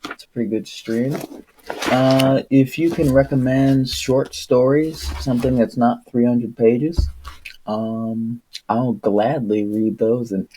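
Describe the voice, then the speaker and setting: A man streaming solo, reading aloud and chatting casually in an unhurried, rambling, wry manner. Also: medium pitch, fairly even intonation, mellow, poor audio. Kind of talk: audiobook-style reading and casual livestream chat.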